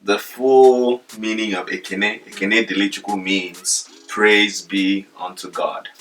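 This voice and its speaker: A man's voice: lowish voice, steady tone, slow pace, fairly bright tone, a little hiss or hum. A young Nigerian man with a Nigerian accent, joking around in a casual chat with his wife. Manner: playful, joking, drops into pidgin. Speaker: co-host, husband. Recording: voice from a distance, with the mic far off.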